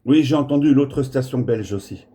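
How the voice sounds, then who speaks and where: A man's voice: medium pitch, normal speed, heavy background noise. A man chatting casually as an amateur radio operator, hesitating and repeating words. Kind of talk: casual radio contact chat. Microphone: smartphone recording in the room.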